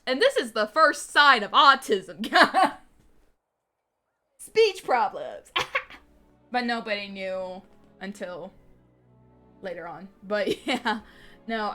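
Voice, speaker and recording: A woman's voice: medium pitch, lively intonation, takes it slowly, long pauses, sharp tone. Young female streamer, slight lisp, rambly and full of fillers, faint music underneath. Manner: rambling, many fillers and restarts, laughs often. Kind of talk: casual live-stream chat.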